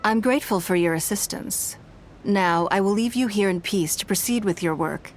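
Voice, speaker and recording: A woman playing a composed, gracious noblewoman of power in a video game, speaking with formal, measured politeness. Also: medium pitch, a little hiss or hum, natural voice.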